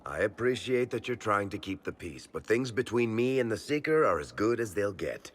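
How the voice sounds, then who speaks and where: An actor playing a wry, plain-spoken rogue with a guarded soft heart in a fantasy game. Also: male voice, lowish voice, sing-song, moderate pace, husky. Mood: wry, defensive. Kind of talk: dramatic video game cutscene acting. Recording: faint background ambience noise.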